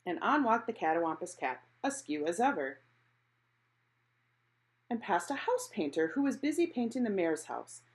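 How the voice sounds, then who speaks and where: Animated woman reading a children's storybook. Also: medium pitch, normal speed, pauses often. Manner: animated, acting out characters' exclamations. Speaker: picture book reader. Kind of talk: expressive children's storybook read-aloud.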